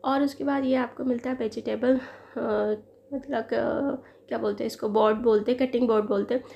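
A woman's voice: on the high side, steady tone, moderate pace, creaky, highs cut off, a little hiss or hum. A woman in her thirties, a lifestyle vlogger who chats to viewers while unboxing a product at home, admitting she is no expert. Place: living room at home, indoors.

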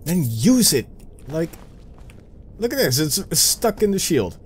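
Unhurried Dutch man narrating his gameplay. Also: fairly high pitch, sing-song, a little hiss or hum. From Netherlands. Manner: chatty, muttering to himself, self-mocking. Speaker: gameplay commentator. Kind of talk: casual let's play commentary.

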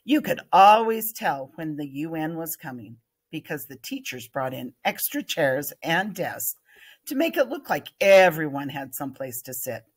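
A woman's voice: deep voice, big pitch swings, moderate pace, slightly rough. An older American woman, a teacher, reading a children's story aloud expressively. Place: indoor room, at a computer. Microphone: webcam screen recording.

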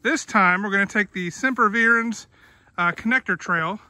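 A man's voice: high-pitched, normal speed, pushed and bright, dry recording. A man narrating a family hiking vlog outdoors, chatty and pointing things out as he walks. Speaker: family vlogger. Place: outdoor hiking trail in a coastal park.

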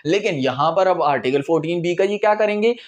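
Male lecturer, twenties, Pakistani accent, fairly high voice, informal explanatory delivery with English terms mixed in. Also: animated, fast talker. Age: 20s. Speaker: law lecturer. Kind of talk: informal lecture with acted-out examples. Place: small indoor room in front of a whiteboard.

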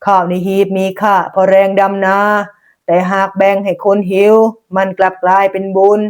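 A woman narrator acts a wise old monk who heads a village temple in a folk tale. He speaks calmly and with measure, as one handing down a moral. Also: mid-range voice, moderate pace, pitched below the performer's own voice, natural voice. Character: calm, wise old village abbot, a man.

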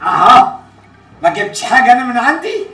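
An actor playing an exasperated, hot-tempered Egyptian TV boss at breaking point in a comedy series, agitated and excitable. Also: male voice, extremely high pitch, quick pace, very noisy. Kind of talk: Arabic comedy series acting. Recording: very echoey, music behind.

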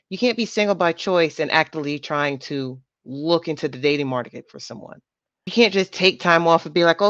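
A woman's voice: deep voice, no room sound. A woman hosting a reaction channel, giving emphatic, lecturing commentary on dating. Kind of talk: opinionated commentary. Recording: dull lo-fi dry voice.

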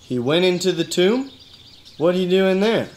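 A man's voice: high-pitched, lively intonation, normal speed, a little mellow. A man playing a weary, skeptical hardboiled detective with colloquial talk, in a noir-parody radio drama. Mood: softening, puzzled. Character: exasperated, hardboiled male detective questioning his cousin.

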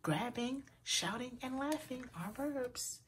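Animated, high-pitched woman reading to children. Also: a little hiss or hum. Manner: pauses to point out words to young listeners; animated, deliberate delivery for children. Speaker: children's storyteller. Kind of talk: expressive read-aloud of a children's picture book.

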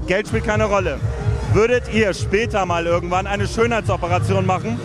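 A man's voice: sits high, moderate pace. A man in his thirties doing casual street interviews in a teasing, chummy, informal way. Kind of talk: casual vox-pop interviewing. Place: large busy nightclub. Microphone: handheld reporter microphone. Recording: loud club music and crowd behind.

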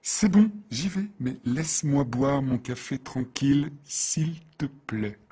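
Brief: man, mid-pitched, husky, scripted lesson dialogue reads. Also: expressive, takes it slowly, dark tone. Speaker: dialogue reader for French learners.

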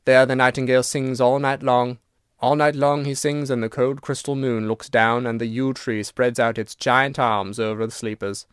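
A man's voice: mid-range voice, expressive, moderate pace, no room sound, clean studio sound, lightly acted. A man voicing a weary, sorrowful old ghost from a storybook, dreamy and sighing in manner. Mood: sad, dreamy. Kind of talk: storybook narration character.

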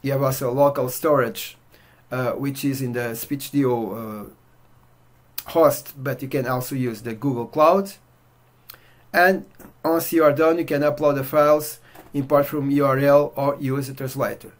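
A middle-aged man from Portugal with a Portuguese accent, walking through a software demo in a relaxed way with many fillers. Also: medium pitch, measured pace. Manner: relaxed, filler-heavy walkthrough. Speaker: software reviewer. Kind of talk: casual screen-share demo. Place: indoor room at home.

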